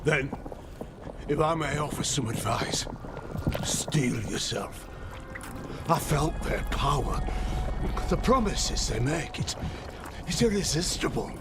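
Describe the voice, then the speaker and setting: A man's voice: on the high side, animated, takes it slowly, long pauses, loud music behind the voice. An actor playing a frightened, guilt-ridden male villager begging for mercy in a fantasy game. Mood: shaken, subdued. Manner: pleading, fearful.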